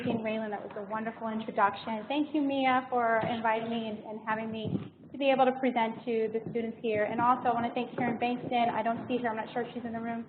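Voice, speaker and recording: An American woman speaks as a guest presenter in an informal talk. Her voice is fairly high. The sound is thin and band-limited like a phone line, with faint noise underneath. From United States. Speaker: guest speaker, healthcare diversity director.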